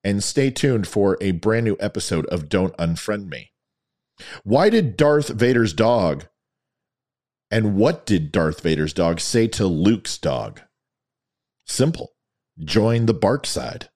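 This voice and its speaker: Male commentary host, lower register, lightly worn and scratchy, emphatic theatrical delivery. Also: big pitch swings, slow, pauses often, darkish tone. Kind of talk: opinion commentary monologue to an audience.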